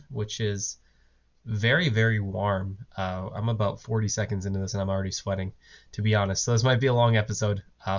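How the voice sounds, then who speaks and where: A man hosting a casual solo fan podcast, his voice hoarse from a cough, talking in a rambling way with lots of fillers and restarts. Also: on the low side, highs cut off. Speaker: podcast host. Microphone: desk mic, on video.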